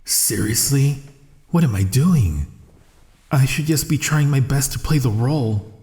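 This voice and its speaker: A man playing a secret assassin posing as a polite bodyguard in an ASMR roleplay, with a soft, gentle voice and a dry, restrained manner. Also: medium pitch, expressive, measured pace, lightly acted. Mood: flustered, softening. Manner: restrained, dry, inner monologue and courteous address. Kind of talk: ASMR roleplay audio acting.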